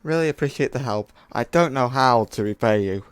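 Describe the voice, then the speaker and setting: A woman voicing a calm, caring robot surgeon in an amateur comic dub, speaking in a measured, reassuring way. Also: extremely low pitch, slight background noise, voice pushed down.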